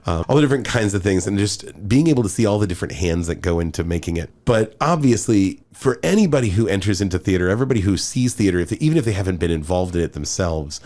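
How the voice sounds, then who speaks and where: Enthusiastic priest hosting a podcast. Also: male voice, low-pitched, fast, hardly any pauses. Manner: animated, enthusiastic, many fillers. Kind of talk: casual podcast interview.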